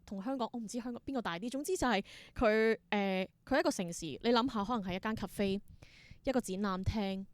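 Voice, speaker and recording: A woman's voice: mid-range voice, fairly quick, no room sound. Hong Kong woman, close dry sound. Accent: Hong Kong Cantonese. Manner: reflective, explanatory, mixes in English words. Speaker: interview guest, theatre performer and creator. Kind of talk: casual interview conversation.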